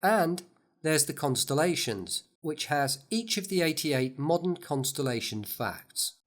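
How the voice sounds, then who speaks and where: A man's voice: fairly high pitch, sing-song, a little slow, background noise. A man narrating a scripted product overview in an enthusiastic, explanatory way.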